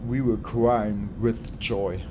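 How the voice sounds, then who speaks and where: A man's voice: lowish voice, steady tone, takes it slowly, warm tone, slight background noise. An actor playing a self-absorbed, sentimental celebrity showman telling anecdotes in a parody audio play. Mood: self-satisfied.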